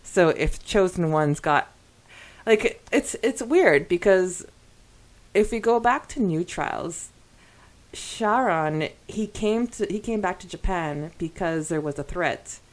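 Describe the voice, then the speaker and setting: Lower-voiced woman chatting on a podcast. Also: lively intonation, takes it slowly. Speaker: podcast co-host. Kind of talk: casual fan discussion podcast.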